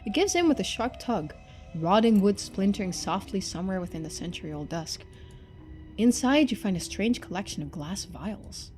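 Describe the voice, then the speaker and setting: A woman streamer reading a story game aloud in expressive narration, with casual asides. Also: mid-range voice, moderate pace, faint music behind.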